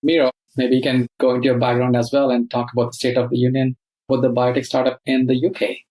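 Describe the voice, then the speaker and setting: A man moderating a panel, turning to each guest with brisk, inviting questions. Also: medium pitch, completely flat delivery, mellow, low-quality recording.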